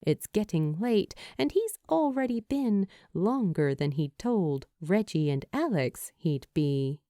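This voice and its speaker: A woman giving expressive, dramatic scripted narration as an audiobook reader of fan fiction. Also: lowish voice, slow, dry recording, studio quality.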